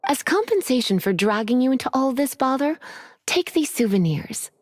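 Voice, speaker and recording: Languid, teasing librarian mage. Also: female voice, high voice, very animated, moderate pace, no room sound. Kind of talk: game voice acting. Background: faint game music.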